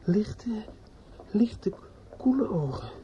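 A drunk, aggrieved judge's son in an old radio drama, stammering and brooding in bitter grief. The voice is very dark and mellow, on a dull recording with steady noise. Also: male voice, high voice, very slow, exaggerated voice. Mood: drunken anguish. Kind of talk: radio drama acting with inner monologue. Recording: muffled, noisy old radio play recording.

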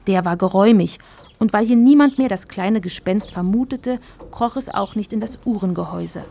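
An actress playing the narrator of a children's audio drama, telling the tale in a composed, measured, scripted way. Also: female voice, medium pitch, vocal fry, warm tone, a little hiss or hum, natural voice. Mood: composed storytelling.

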